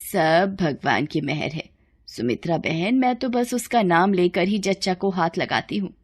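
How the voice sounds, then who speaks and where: A humble village midwife and loving mother from a storybook tale, voiced by a woman narrator. Her talk is soft and homely, a little low in pitch, in a dry recording. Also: animated, a little slow, husky, no echo.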